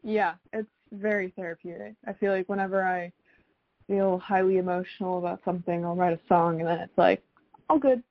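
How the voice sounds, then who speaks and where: A young woman answers interview questions in a casual, chatty way. Her voice sits on the low side and often slips into vocal fry. The sound is dry and slightly dull. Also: fairly even intonation, brisk, no room sound. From South Florida, United States. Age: young adult. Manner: chatty, frequent "like" and youthful slang. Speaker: singer-songwriter guest.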